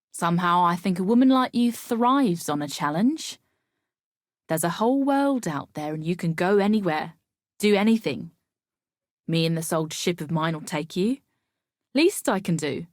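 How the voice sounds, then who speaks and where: A woman's voice: mid-range voice, no echo, lightly acted. Woman acting a roguish pirate sea captain, dry recording. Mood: thoughtful, friendly. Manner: salty, colloquial, cocky. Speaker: game voice actor. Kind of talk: video game character dialogue.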